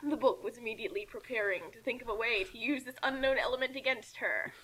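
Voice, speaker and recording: A woman's voice: a little high, fast talker, a bit bright, breathy edge, rough recording. A woman reading a comic story aloud and commenting on it casually.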